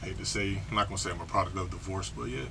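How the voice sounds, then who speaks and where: A man in his forties from western Pennsylvania, a guest on an interview show, relaxed, humble and polite. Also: low-pitched, rapid-fire, vocal fry, noisy background. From Pittsburgh, USA. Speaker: interview guest, associate director. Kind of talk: casual interview. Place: small studio.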